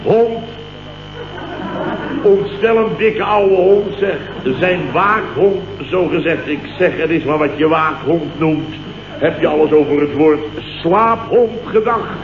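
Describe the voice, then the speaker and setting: An indignant Dutch boss in an old radio comedy, blustering and sarcastic. His voice sits a bit high, and the recording is roomy and very noisy. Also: takes it slowly, phone-quality sound. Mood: exasperated. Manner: blustering, exclamatory, sarcastic storytelling. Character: grumbling, indignant male boss recounting his mishaps.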